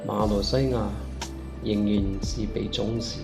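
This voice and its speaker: A man from Malaysia reads slowly and deliberately, his low voice gravelly. The recording is roomy and echoey, with instrumental music playing clearly behind him. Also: flat delivery. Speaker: art teacher and clay artist. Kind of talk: prepared reading.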